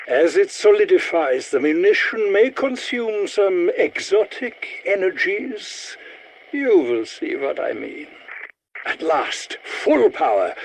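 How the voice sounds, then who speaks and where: A sardonic, eccentric German scientist from a video game. He speaks slowly and pompously in a fairly high male voice that swoops theatrically, each word placed with fussy precision. There is faint noise in the recording. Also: heavily acted, poor audio. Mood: grave, relieved. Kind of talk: video game character voice lines.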